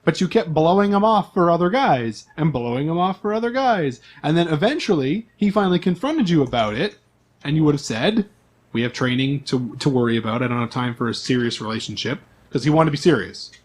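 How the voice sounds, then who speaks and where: A man's voice: on the high side, lively intonation, brisk. A man speaking casually as a game master and podcast host, narrating a tabletop game.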